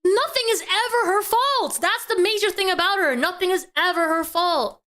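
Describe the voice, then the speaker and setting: A woman's voice: extremely high pitch, normal speed, bright, forceful tone. A woman giving casual live reaction commentary as a streamer, emphatic and exasperated in her opinions.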